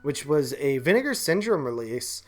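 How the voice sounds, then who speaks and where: A man's voice: mid-range voice, sing-song, normal speed. Casual man chatting about movies over music. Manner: slangy, informal, heavy use of "like" and "man". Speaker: movie podcast co-host.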